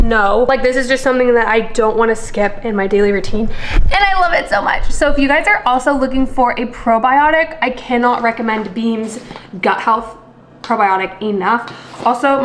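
A woman's voice: on the high side, a little hiss or hum. A woman in her twenties chatting casually in a vlog, bubbly and gushing, filming herself with a handheld camera at home and in her car. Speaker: lifestyle and fitness vlogger. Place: home kitchen and bedroom, later parked car. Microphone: handheld camera at arm's length.

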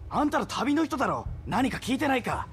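A man's voice: very high voice, big pitch swings, brisk, slight background noise. An actor playing a nosy, talkative villager in a video game, casual in his speech. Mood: curious. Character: chatty, nosy male villager passing on rumours. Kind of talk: Japanese video game voice acting.

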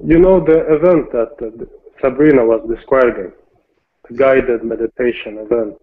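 A man calls in and asks a question, stumbling over fillers as he goes. His voice sits mid-range. It comes through narrow and tinny, like a phone line. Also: medium pitch. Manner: halting, with fillers. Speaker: caller. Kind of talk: asking a question on a call.

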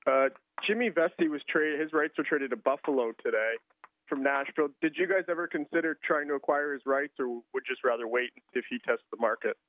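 A man's voice: mid-range voice, a little fast. A man, a sports reporter, putting questions on a press conference call over the telephone. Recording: dry, narrow phone line.

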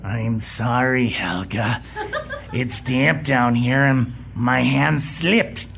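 A man's voice: medium pitch, steady tone, extremely slow, gravelly, a bit bright, a little hiss or hum, heavily acted. A man playing a clumsy, apologetic henchman posing as Swiss in a comic radio drama, sheepish and babbling.

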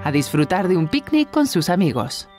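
A woman's voice: lowish voice, big pitch swings, moderate pace, darkish tone, faint music behind. A woman narrating a children's cartoon as a kindly storybook narrator. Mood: storytelling.

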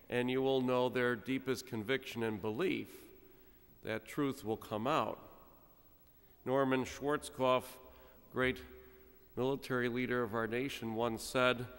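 A middle-aged man, a priest, giving an animated, humorous sermon at a pulpit microphone, with sudden quiet drops. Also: mid-range voice, clean tone. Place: large church, speaking at a pulpit. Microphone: stand mic at pulpit. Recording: long church reverb.

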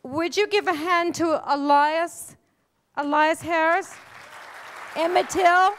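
Woman event host, very high bright voice, unhurried pace, stage announcements, faint crowd. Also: fairly even intonation. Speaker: event host and playwright thanking the cast. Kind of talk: addressing an audience from stage. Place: conference stage. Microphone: handheld mic. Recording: live hall, faint crowd and noise.

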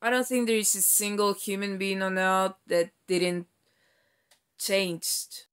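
Smooth, slow-talking woman around forty. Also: mid-range voice. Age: about 40. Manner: halting, rambling, reflective. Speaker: vlogger. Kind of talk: reflective personal vlog, casual talk.

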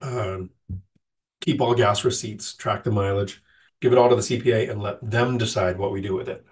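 A man's voice: on the low side, fairly even intonation, normal speed, a little mellow, recorded from a distance. A middle-aged man with a sick, nasal voice, casually answering live chat questions as host, with frequent ums and restarts.

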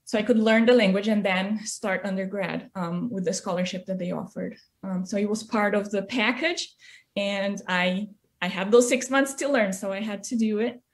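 A woman's voice: mid-range voice, moderate pace. Brazilian-accented woman telling her story. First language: Portuguese. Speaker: interview guest, music educator. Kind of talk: conversational personal storytelling. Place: small indoor room, video call. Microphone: computer mic on video call.

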